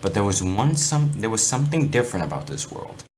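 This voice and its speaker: A man's voice: on the low side, very animated, a little fast, a little mellow. A man reading aloud and talking casually in an amateur, sweary way over a game voice chat. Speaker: fan fiction reader. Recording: noisy voice chat recording.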